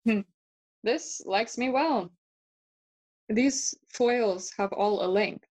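A woman playing a playful, philosophical young prince in a bilingual audio drama, speaking classical verse with a measured, theatrical manner. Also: fairly high pitch, darkish tone. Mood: courteous, wry. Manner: measured, theatrical, switching between English and Spanish.

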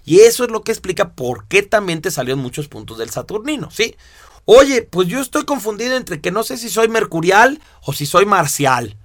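A man teaching a course, explaining step by step with lively rhetorical questions. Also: high voice, normal speed, no room sound, clean studio sound. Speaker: instructor. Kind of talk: lecture to students.

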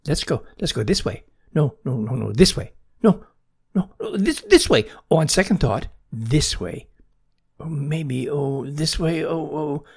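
Casting: tiny baby bird boy for a storybook, high, swooping, squeaky and excitable. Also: drawn-out words, gravelly, long pauses, husky, exaggerated voice. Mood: excited, impatient. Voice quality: squeaky, peeping. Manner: cheeping, whimpering, excitable. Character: small helpless baby chickadee, male, timid, excitable.

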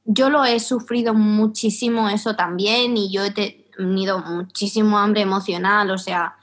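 A woman host, chatting in a lively, animated way and laughing easily. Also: mid-range voice, measured pace. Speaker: interviewer, host. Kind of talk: casual chat.

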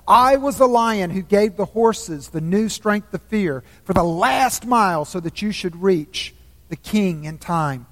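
A man voicing a great, wise male lion who speaks slowly in a calm, commanding hush. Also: high voice. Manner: slow, solemn, hushed. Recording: long hall reverb.

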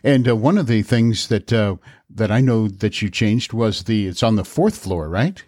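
A man's voice: fairly low pitch, expressive, moderate pace, darkish tone, dry recording, studio quality. A man hosting a local podcast, asking questions in an easygoing way with many fillers. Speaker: podcast host. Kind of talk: podcast interview and read ad.

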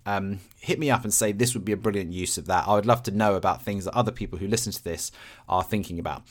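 A man hosting a podcast, talking casually and explaining things in simple, everyday terms. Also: lowish voice. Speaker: podcast host.

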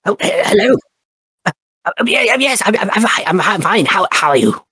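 A man voicing a bashful bird-folk cleric with a husky, raspy voice, who stammers and hesitates before speaking solemnly of his goddess. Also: high voice, sing-song, normal speed, sharp tone, dry recording, heavily acted. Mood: flustered. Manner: stammering, hesitant, then solemn when he preaches. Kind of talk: tabletop character interview.

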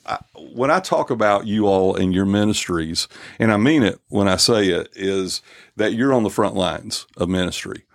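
A man in his fifties hosts a church podcast in an earnest, unhurried way. His voice sits very low with a gravelly edge. The sound is close and dry. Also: no room sound. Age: 50s. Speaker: podcast host. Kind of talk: casual church podcast talk.

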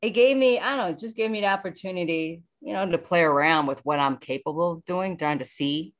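Low-voiced woman, thinking aloud. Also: a little fast. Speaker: voice actor, interview guest. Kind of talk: casual interview.

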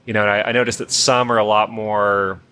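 A man's voice: on the low side, level tone, brisk, bright, forceful tone, clean tone. A man hosting a casual interview, chatty and informal, full of fillers. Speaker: podcast host in the wedding business.

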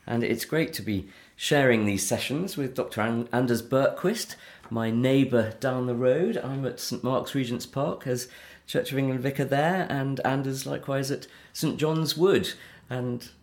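A man's voice: mid-range voice, measured pace. A middle-aged man, a Church of England vicar, hosting a theology podcast and speaking in an earnest, articulate way. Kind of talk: conversational theological discussion.

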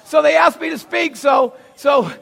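A man with a Boston accent preaching a sermon, telling stories with gasps and acted-out lines and shouting for emphasis. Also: very high-pitched, unhurried, creaky, a faint crowd. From Boston, USA. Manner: animated storyteller, acts out gasps and quotes, shouts for emphasis. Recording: long hall reverb.

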